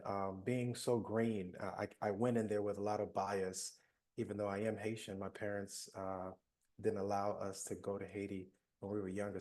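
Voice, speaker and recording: Reflective male panelist, low and mellow, soft-spoken. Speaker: panelist, mental health professional. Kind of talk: panel discussion.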